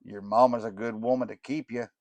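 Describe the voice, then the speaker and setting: A man voicing a large, beastly male inmate who talks in a slow drawl. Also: medium pitch, fairly even intonation, no echo, natural voice. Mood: sour.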